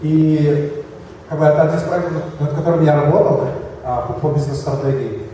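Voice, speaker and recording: A man with a Kyrgyz accent, slightly hoarse from a cold, giving a halting conference talk with slides. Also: fairly high pitch, steady tone, mellow, slight background noise. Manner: halting, many fillers and restarts. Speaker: conference speaker. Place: large echoey hall. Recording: echoey hall from far off.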